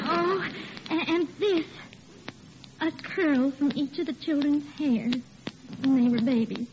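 High-voiced, patient American wife. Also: female voice. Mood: tearfully touched. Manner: expressive, unhurried, emotional. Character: patient, sentimental wife and mother, long-suffering. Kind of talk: 1940s radio comedy acting. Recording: muffled, noisy old radio recording.

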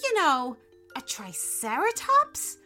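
A woman's voice: sits high, huge pitch swings, slow, bright, forceful tone, faint music behind, voice pushed up, full character voice. A woman voicing a friendly, polite male zoo dog who hesitates and stammers. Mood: awkward polite.

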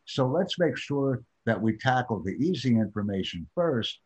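Husky-voiced man teaching test prep. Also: medium pitch, animated. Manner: measured, emphatic teaching delivery. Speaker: IELTS teacher. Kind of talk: classroom instruction.

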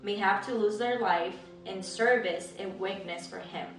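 A woman's voice: mid-range voice, a little slow. Female faith-show host, earnest delivery, distant roomy recording with faint music. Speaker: interviewer and host. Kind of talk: livestream testimony interview with scripture reading. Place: indoors in a guest's home. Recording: echoey room, distant mic, music.

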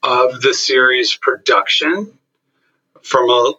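A man explains his work at a measured pace, pausing on the odd "um." His voice has a bright, forward edge. The sound is dull and lo-fi, as if it comes through a remote call. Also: medium pitch, breathy edge. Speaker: interview guest, finance executive. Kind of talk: casual interview.

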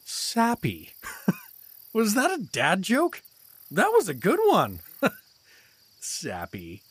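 An actor playing a good-natured, blundering adventurer in a fantasy audio drama, awkward, apologetic and expressive. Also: male voice, high-pitched, drawn-out words, breathy edge, heavily acted. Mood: upbeat, grateful.

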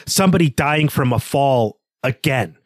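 A man's voice: medium pitch, expressive, moderate pace, no room sound, clean studio sound. A man speaking analytically and articulately as a podcast co-host, discussing literature in a conversational way.

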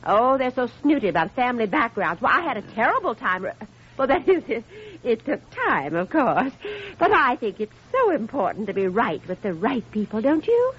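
Gushing rich widow, swooping high voice. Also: female voice, sing-song, moderate pace. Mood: snobbish chatter. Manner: effusive, talkative, affected. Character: gushing, snobbish, chattering rich widow and mother. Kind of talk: old-time radio drama acting. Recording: dull, hissy old radio recording.

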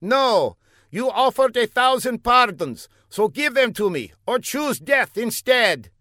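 A man's voice: very high-pitched, animated, slow, sharp tone, no echo, studio quality, pitched above the performer's own voice, exaggerated voice. A man voicing a pompous, demanding older bearded secret agent who bellows in stilted, broken English.

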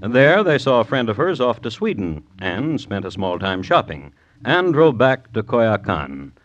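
An actor narrating an old-time radio crime drama as a wry, formal chronicler, measured and dry. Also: male voice, on the low side, sing-song. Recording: dull vintage radio recording, music behind.

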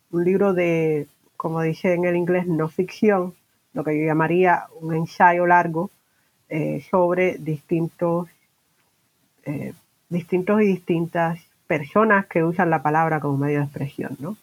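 A woman's voice: fairly low pitch, steady tone, measured pace, rough recording. A woman hosting an informal bilingual podcast interview, mixing English words into her Spanish. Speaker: podcast host interviewing a guest.

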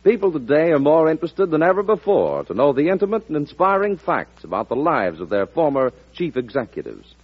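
An American man reading a scripted, earnest promotional announcement in 1940s radio style, with a husky voice. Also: a little high, big pitch swings, brisk, few pauses. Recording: dull old radio recording.

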